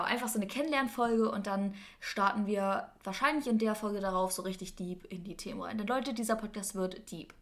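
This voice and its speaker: A 21-year-old woman co-hosting a podcast, chatting casually in an enthusiastic, gushing and giggly way. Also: medium pitch. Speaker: podcast co-host.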